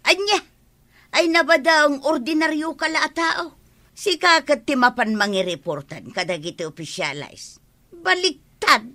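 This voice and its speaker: A feisty village woman in a comedy radio drama, acted by a woman. Her voice is high-pitched and piercing. She complains pointedly and talks back. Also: expressive, a little slow, bright, forceful tone, heavily acted. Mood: scolding.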